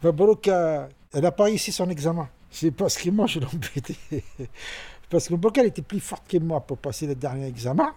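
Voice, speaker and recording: A man in his sixties with a Tunisian accent, telling stories about his childhood in a halting, animated way. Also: no room sound. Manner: animated, halting, with sudden pitch jumps. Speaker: interviewee. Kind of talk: personal storytelling.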